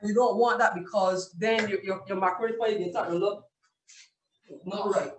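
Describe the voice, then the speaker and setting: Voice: medium pitch, fairly even intonation, normal speed. A chef explaining a dish step by step on a video call, often checking in with "right?" from a home kitchen. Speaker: chef cooking live. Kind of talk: cooking demonstration on a video call. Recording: distant echoey room with faint noise.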